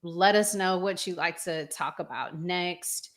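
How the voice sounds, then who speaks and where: A woman with a slightly low voice hosts a talk show in a casual, conversational style. She speaks at a markedly slow pace. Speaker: host of a relationship talk show.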